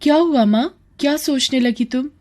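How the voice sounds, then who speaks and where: A woman puts on a caring young daughter from a storybook tale. The voice is lifted high yet mellow, gentle and comforting. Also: normal speed, lightly acted.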